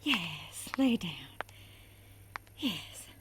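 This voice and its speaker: An actress playing a frazzled cook who has gone dazed and eerily calm. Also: female voice, lowish voice, very slow, noisy background, exaggerated voice. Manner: dazed, dreamy and unsettled. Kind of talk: audio drama acting.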